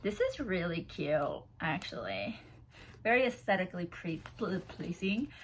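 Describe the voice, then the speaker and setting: A woman's voice: lowish voice, big pitch swings, a little slow, breathy edge. A woman chatting casually to camera as she unboxes a gift box, bubbly and giggly, seated on a bouncy ball at home. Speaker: vlogger unboxing a swap box.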